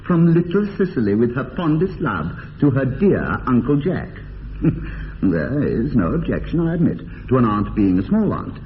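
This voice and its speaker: An actor playing an idle, witty, teasing young upper-class English bachelor in a radio comedy of manners, with arch, polished phrasing. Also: male voice, mid-range voice, big pitch swings, moderate pace, close to an everyday voice. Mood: amused, probing. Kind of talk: radio drama acting of period comedy. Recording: tinny band-limited radio, long reverb, hiss.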